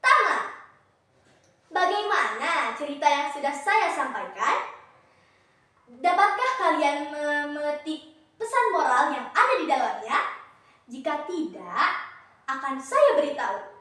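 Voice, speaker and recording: A teenage girl, telling a children's story in a deliberate, theatrical, declamatory way. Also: sits high, big pitch swings, pushed and bright. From Indonesia. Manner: deliberate, declamatory, addresses listeners directly. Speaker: student storyteller in a contest. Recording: very echoey room, distant mic.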